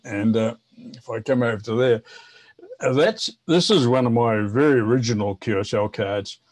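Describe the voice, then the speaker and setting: A man in his seventies with a New Zealand accent, chatting and explaining casually in a halting way with repeated words. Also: medium pitch, darkish tone. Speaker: guest, veteran amateur radio operator. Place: home office, indoors.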